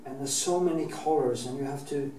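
Norwegian-accented painter, middle-aged; rambling restarts, casual swearing; distant camera mic, faint room hiss. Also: male voice, medium pitch, fairly quick, dark tone, echoey room. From Norway. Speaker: painting teacher. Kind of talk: painting demonstration. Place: painting studio, indoors.

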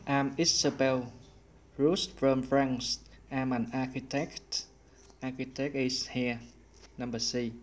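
A male English teacher explains a course-book exercise step by step. He speaks slowly in an even, flat tone, over a faint hiss of noise. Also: medium pitch. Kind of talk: online lesson narration.

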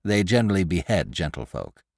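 A man's voice: sits low, big pitch swings, a little fast, vocal fry, dry recording, clean studio sound, heavily acted. A man voicing a stout, gossipy older woman shopkeeper in an audiobook, chattering in a breathless, exclamatory way. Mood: gossiping. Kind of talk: audiobook character reading.

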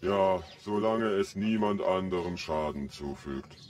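An actor playing a grumbling, gloomy golem who guards a village in an audio story, sullen and dry. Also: male voice, sits low, measured pace, darkish tone, slight background noise. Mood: sullen, resigned.

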